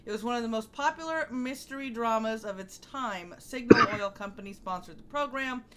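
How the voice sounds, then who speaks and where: A woman's voice: on the high side, brisk, a little hiss or hum, rough recording. A woman hosting a casual show, explaining things and reading from notes. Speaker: podcast host.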